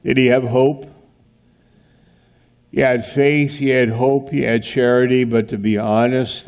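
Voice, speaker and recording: A man's voice: lowish voice, a little mellow. A man preaching an earnest sermon who asks rhetorical questions and acts out scenes.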